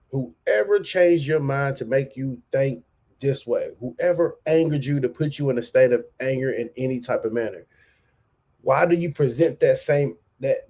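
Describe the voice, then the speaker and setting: A man's voice: mid-range voice, warm tone. Slangy man riffing on a clip. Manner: loose, slangy and repetitive, restating points. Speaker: reaction channel host. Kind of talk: casual reaction commentary.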